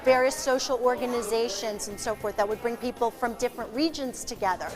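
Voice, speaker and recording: A woman speaking reflectively in a documentary interview, often pausing on "you know". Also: on the high side, music in the background.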